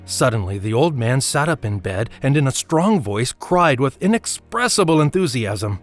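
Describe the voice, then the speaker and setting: A man narrating a story from a script in a measured storytelling manner. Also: mid-range voice, big pitch swings. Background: music.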